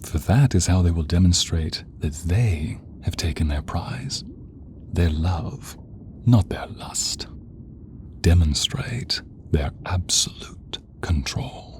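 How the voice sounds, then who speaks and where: A man narrating a scripted ASMR story in a dramatic, hushed manner that often drops into a whisper. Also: deep voice, takes it slowly. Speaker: narrator of an audio roleplay.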